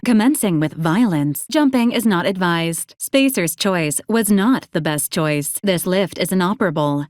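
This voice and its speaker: A woman plays a courteous female robot companion from a video game. The voice sits at a medium pitch, formal and procedural, with dry, deadpan humor. The recording is close and dry. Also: dry recording, lightly acted. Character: polite, dryly droll female robot assistant unit. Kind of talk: scripted video game character lines.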